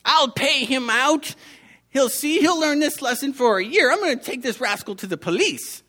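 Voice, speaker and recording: A man voicing a cross old woman who sells apples, scolding in a high, drawn-out voice. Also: sing-song, full character voice. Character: scolding old female apple seller, gruff grandmother.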